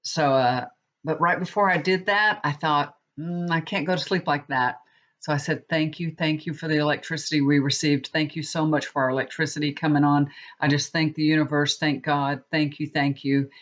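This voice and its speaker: A woman's voice: sits low, fairly even intonation, moderate pace, fairly bright tone. Sniffly woman chatting to viewers. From Texas. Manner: chatty, rambling, with many restarts. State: stuffy with a cold, sniffling. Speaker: tarot reader. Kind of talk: casual chat to viewers. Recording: dull lo-fi recording.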